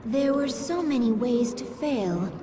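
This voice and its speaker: Slow, devoted alien servant. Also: female voice, fairly high pitch, expressive. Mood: anxious devotion. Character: devoted, anxious female alien hive servant. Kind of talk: video game voice acting. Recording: distant voice under loud noisy ambience.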